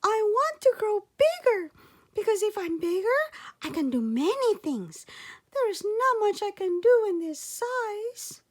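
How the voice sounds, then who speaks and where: A woman's voice: very high voice, very animated, measured pace, pitched above the performer's own voice, heavily acted. A woman voicing a tiny, earnest seven-year-old boy in a storybook, pleading and eager. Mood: polite, earnest.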